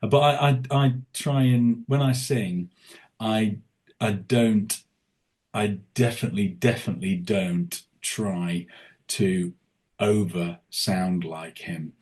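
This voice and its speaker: A man with a lower speaking voice talks loosely and at length as a guest on a chat podcast. He keeps stopping and restarting his sentences and leans on fillers, but he always gets to his point in an easygoing way. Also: darkish tone. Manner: rambling, halting, many restarts and fillers. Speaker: tribute singer and podcast guest. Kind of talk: casual interview chat.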